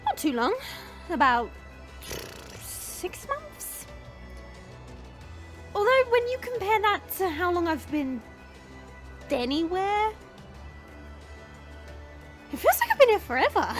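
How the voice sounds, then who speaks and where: Audio drama role: actress as a mischievous female monster, high, lively and sardonic, teasing with relish. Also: takes it slowly, pauses often, faint music behind, exaggerated voice. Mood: sardonic, wry. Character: playful, teasing female monster, powerful and lonely. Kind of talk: audio roleplay acting.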